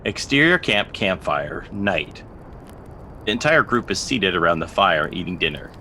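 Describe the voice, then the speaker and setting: A man's voice: expressive, a little slow, sharp tone. A man narrating scripted stage directions for a drama table read. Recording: video-call mic with background rumble.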